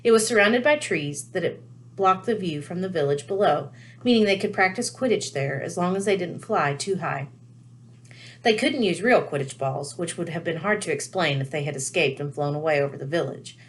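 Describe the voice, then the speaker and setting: Lowish-pitched woman reading a storybook. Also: moderate pace. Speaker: read-aloud storyteller. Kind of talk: scripted storybook narration.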